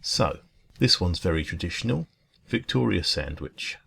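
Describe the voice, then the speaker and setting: Deep, gravelly, kindly dragon café keeper played by a man. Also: animated, moderate pace, heavily acted. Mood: cheerful, chatty. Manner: gentle, reassuring, conversational. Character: friendly, caring male dragon running a cosy café diner. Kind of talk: ASMR roleplay.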